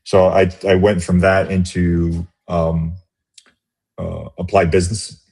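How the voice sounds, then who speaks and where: A middle-aged man, born in Romania and raised in the US, speaking as an interview guest in a reflective, halting way with many restarts. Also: sits low, little pitch movement, creaky, a little mellow, breathy edge. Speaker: guest, business executive. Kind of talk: casual interview conversation.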